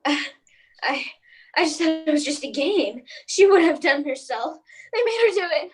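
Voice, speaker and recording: A frightened mother in a radio play, acted by a woman, with a very high voice. She falters and repeats herself as she pleads. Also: animated, moderate pace. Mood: uneasy. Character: Mrs. Morris, terrified, pleading mother.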